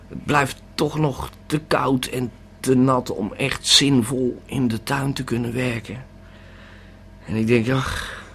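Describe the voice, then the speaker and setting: Man acting a slow, stammering, bewildered tripper. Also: mid-range voice, slight background noise. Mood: sheepish regret. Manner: stammering, hesitant, repeating words. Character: panicky, bewildered male holidaymaker tripping on a pill. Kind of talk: first-person audio drama monologue.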